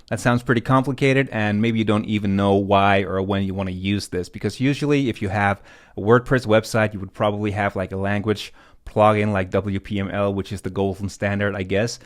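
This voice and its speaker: Low-voiced man teaching casually. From Denmark. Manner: informal, explanatory. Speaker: web development tutorial host. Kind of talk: casual screen-recorded tutorial.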